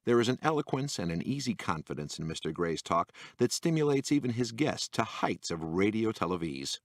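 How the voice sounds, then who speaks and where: A man's voice: on the low side, big pitch swings, fairly quick, no room sound. A man reading a humorous magazine essay aloud in a wry, dry manner, lifting his voice for each quotation. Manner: dry, wry delivery that lifts into quoted voices. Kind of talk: scripted narration.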